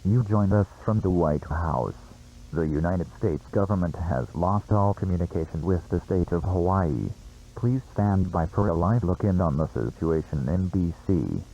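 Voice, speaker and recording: A man's voice: low voice, lively intonation, moderate pace, warm tone, slightly rough, exaggerated voice. A man playing a solemn news anchor reading an emergency bulletin, with dull broadcast sound.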